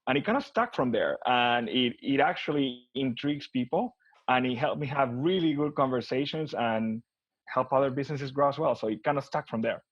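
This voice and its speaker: A man's voice: mid-range voice, fairly quick, no echo. Argentine-accented man, dry and dull-sounding. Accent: Argentine Spanish. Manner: frequent restarts and repeated words, conversational. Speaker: guest, business consultant. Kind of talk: casual interview conversation.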